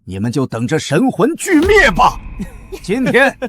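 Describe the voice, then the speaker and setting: An actor playing a scheming, arrogant old Taoist priest who sneers with contempt in a costume drama. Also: male voice, high-pitched, wildly swooping pitch, a little slow, fairly bright tone, husky, faint music behind, exaggerated voice. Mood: gloating. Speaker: villain.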